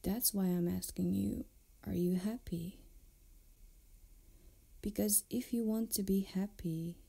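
A woman playing a flirtatious, tender pirate captain in an intimate ASMR roleplay, speaking softly and often close to a whisper. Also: medium pitch, steady tone, full character voice. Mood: tender, comforting. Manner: soft, breathy, often near whisper, unhurried. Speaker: audio roleplay performer.

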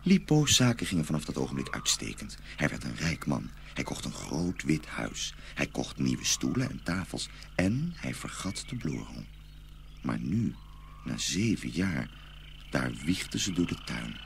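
A man narrating a dark fairy tale in a dramatic storytelling style. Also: very deep voice, very animated, unhurried, vocal fry, slight background noise.

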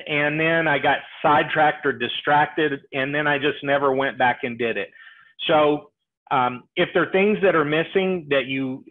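A middle-aged man presenting a live software tutorial, explaining things step by step over a headset on a video call. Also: a little high. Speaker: webinar presenter demonstrating software. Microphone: earpiece headset on a video call. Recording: tinny, phone-like webinar audio.

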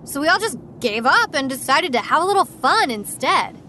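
Cocky young female demon hunter: high, defiant, scornful, over noisy action-drama ambience. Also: very animated, normal speed, sharp tone, exaggerated voice. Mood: proud, callous. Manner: scornful, brash, fearless. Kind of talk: audio drama acting.

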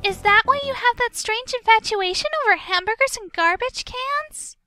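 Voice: extremely high pitch, moderate pace, bright, forceful tone, no room sound, soft background music, voice pushed up. A performer voicing a sweet, easily flustered young girl in a video game dub. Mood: curious.

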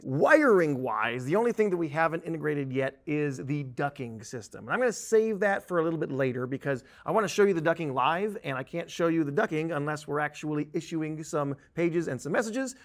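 A man in his thirties teaches in a conversational tutorial style. His voice sits a little higher than most men's. He explains informally, like a trainer walking a class through software. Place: indoor presentation studio at a desk. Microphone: lapel mic.

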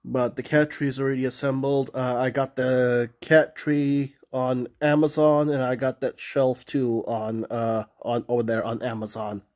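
A man's voice: medium pitch, level tone, a little slow, few pauses, a little mellow. Guy vlogging w a head cold, stuffy nasal voice, rambly, filming his room on his phone. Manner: rambling, restarts and fillers, polite sign-off. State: has a cold, nasal and sniffly. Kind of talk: casual off-the-cuff vlog narration. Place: small cluttered bedroom indoors. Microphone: phone camera held while filming the room.

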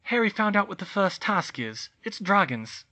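A man voices a clever teenage schoolgirl witch in a storybook, lifted high and bright. She is bossy and earnest, speaking in an organised, insistent way. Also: very animated, moderate pace, full character voice. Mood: urgent, reassuring.

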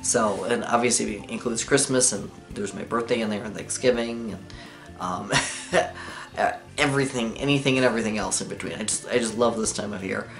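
A man's voice: fairly low pitch, normal speed, gravelly, a bit bright, faint music behind. A young adult man vlogging casually to camera in a living room, rambling with lots of fillers and small laughs. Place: living room with lamp and couch, indoors.

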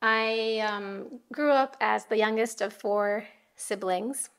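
A middle-aged woman from Japan with an American accent, hosting a podcast from home and telling her personal story in a soft, reflective way. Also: a little high, steady tone, slow, smooth. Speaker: podcast host.

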